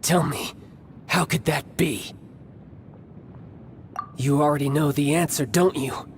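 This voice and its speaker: An actor playing a confident, battle-hungry young villain in an English game dub, speaking formally and smugly over music. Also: male voice, a little high, a little hiss or hum. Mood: composed. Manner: smooth-talking, formal, smug, measured. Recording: music under the voice.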